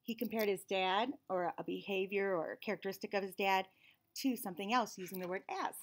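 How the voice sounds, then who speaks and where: A woman's voice: medium pitch, a little fast. An American woman in her forties reads a picture book aloud to young children, expressively, with friendly teaching asides. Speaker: read-aloud host and teacher. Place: small indoor room with shelves and cabinets.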